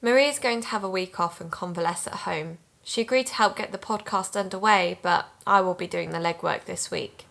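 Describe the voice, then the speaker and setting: An actress playing an earnest woman amateur sleuth who hosts an investigative podcast, narrating calmly. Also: on the low side, fairly quick, creaky, hardly any pauses. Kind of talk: audio drama narration and dialogue.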